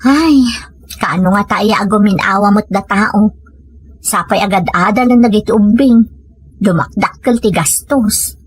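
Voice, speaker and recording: A woman's voice: medium pitch, unhurried, slightly rough, dry recording. A Filipino woman acting a hardworking village family woman in an Ilocano audio comedy drama. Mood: earnest, concerned.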